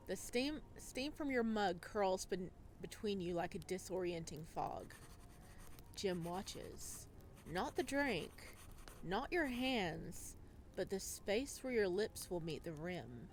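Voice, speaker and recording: Female playthrough host, slow-paced dramatic story reading broken by casual asides, faint background noise. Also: medium pitch. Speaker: video game playthrough host. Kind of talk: dramatic read-aloud narration with casual commentary.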